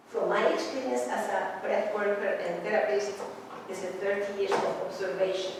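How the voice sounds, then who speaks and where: A woman's voice: mid-range voice, a little mellow. Middle-aged woman in an echoey hall. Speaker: psychotherapist and mentor giving a talk. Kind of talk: conference talk. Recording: echoey hall, mic far off, background noise.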